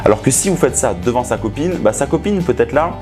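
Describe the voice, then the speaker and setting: A man's voice: medium pitch, animated, quick pace, a little mellow, soft background music. A man coaching in a didactic, instructional way, speaking directly to the viewer. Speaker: seduction coach hosting a video. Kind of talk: instructional talk to camera.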